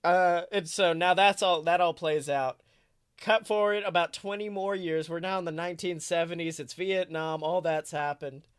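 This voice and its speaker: A man with a high-pitched voice, recorded very dry and close. He tells an improvised comic story as a casual podcast co-host, rambling and correcting himself while playing up the drama. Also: steady tone, moderate pace, clear, resonant tone, no room sound.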